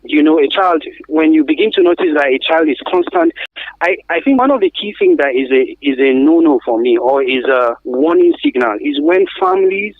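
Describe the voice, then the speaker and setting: A man's voice: on the high side, animated, normal speed, no echo. A man with a Nigerian accent speaking as an expert guest in a radio interview, explaining things emphatically and often answering his own questions. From Africa. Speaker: guest expert on survivors of trafficking. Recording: thin, phone-like radio line.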